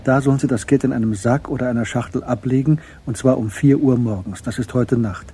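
A man narrating a radio crime drama in a measured, matter-of-fact way as its storyteller. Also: medium pitch, mellow, a little hiss or hum, lightly acted.

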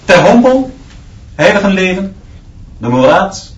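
A man's voice: sits high, big pitch swings, measured pace, distant mic. A man playing a strict, unbending schoolteacher in a radio drama, giving curt commands. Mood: stern, commanding. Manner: barking curt commands and punishments. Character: strict new male schoolteacher, hard and unbending. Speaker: actor in a radio play. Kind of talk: Dutch radio drama acting. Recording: dull, noisy, echoey classroom sound from off.

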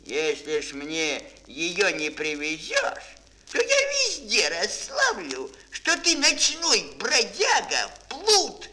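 Актёр играет сурового, шумного царя в детском аудиоспектакле, говорит театрально и декламационно. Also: male voice, sits high, huge pitch swings, slow, sharp tone, voice pushed up, exaggerated voice. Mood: commanding, threatening. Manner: declamatory, theatrical. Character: stern, blustering tsar scolding a young thief. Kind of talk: fairy-tale audio play acting. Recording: noisy old mono record.

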